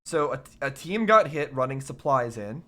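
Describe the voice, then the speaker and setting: A man doing casual, rambling reaction commentary on a game, halting and puzzled as he thinks aloud, his voice a little off as he recovers from illness. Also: medium pitch, sing-song, fairly bright tone. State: recovering from covid, voice slightly affected. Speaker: host of a video game reaction show.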